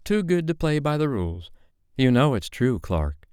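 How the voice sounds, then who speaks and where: A man's voice: mid-range voice, big pitch swings, moderate pace, a little mellow, clean tone, dry recording, studio quality, voice pushed up, close to an everyday voice. A man voicing a haughty, catty woman in an audiobook, irritated and scornful in manner. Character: haughty, catty statuesque woman, sharp and dismissive.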